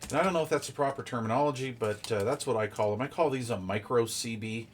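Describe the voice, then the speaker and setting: A man's voice: medium pitch, quick pace, a little hiss or hum. A man giving a casual, hands-on unboxing commentary, explaining details informally and thinking aloud as he goes. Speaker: product reviewer.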